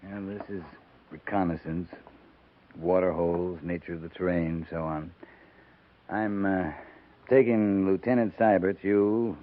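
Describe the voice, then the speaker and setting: A man acting a measured, authoritative American cavalry captain who speaks deliberately and tersely in a 1950s radio drama. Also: sits low, steady tone. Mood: measured, businesslike. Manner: deliberate, terse, thoughtful pauses. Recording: tinny narrow-band 1950s radio recording.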